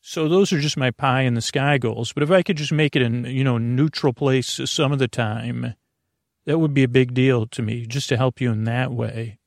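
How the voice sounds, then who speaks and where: A man hosting a sleep podcast in a creaky, gravelly voice, recorded close and dry. He meanders and corrects himself in a soft, reassuring way. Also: mid-range voice, steady tone, moderate pace, no room sound. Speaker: podcast host. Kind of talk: rambling sleep podcast intro.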